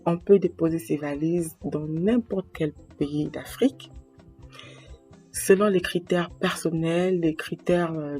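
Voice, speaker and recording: A woman from Congo-Brazzaville, talking to camera in a slow, earnest way to explain her advice and quoting proverbs. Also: lowish voice, darkish tone. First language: a Congolese language. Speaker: association president giving advice.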